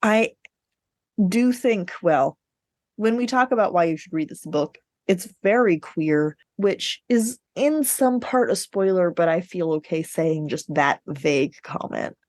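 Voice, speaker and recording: A woman's voice: fairly low pitch, a little slow, a little mellow, no echo, studio quality. A woman co-hosting a podcast in casual book chat, informal and wry, with the odd sweary aside. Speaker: podcast co-host.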